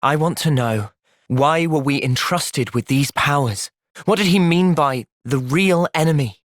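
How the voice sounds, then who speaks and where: A man voicing a thoughtful, loyal young hero in a video game, with an earnest, measured delivery. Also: fairly high pitch, lightly acted. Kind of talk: video game cutscene voice acting.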